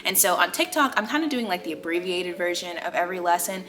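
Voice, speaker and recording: Bright-voiced young American woman, chatty vlogger. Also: on the low side, expressive, quick pace, faint music behind. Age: young adult. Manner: chatty, emphatic, girlfriend-like address with slang. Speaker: video host, natural hair care creator. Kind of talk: casual vlog tutorial talk.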